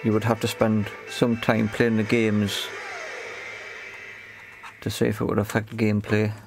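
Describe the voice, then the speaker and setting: A man with a fairly low voice and noticeable fry in it. He talks like a casual repair video presenter, explaining things in a matter-of-fact way and pausing to find his words.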